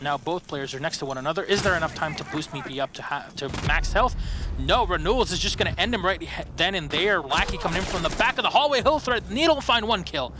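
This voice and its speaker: A young man casting a competitive shooter match, his voice sitting a little high and jumping higher whenever a kill lands. Gunfire and game effects roar loudly underneath him. He talks in quick play-by-play bursts. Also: noisy background. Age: 20s. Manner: excited, rising pitch on big plays. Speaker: esports caster. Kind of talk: play-by-play commentary. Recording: loud gunfire from the game behind.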